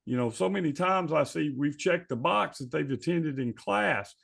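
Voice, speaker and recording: Creaky-voiced man hosting a discussion. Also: fairly high pitch, animated, moderate pace, a bit bright. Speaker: podcast host. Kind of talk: conversational podcast discussion.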